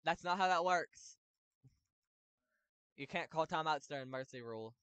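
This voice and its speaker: A young man calling plays in an online football game, shouting touchdowns and then laying down the rules to the players. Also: a little high, normal speed, clean tone, no echo. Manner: hype announcer yelling touchdowns, then bossy about rules. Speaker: game commentator and league host. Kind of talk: excited play-by-play commentary.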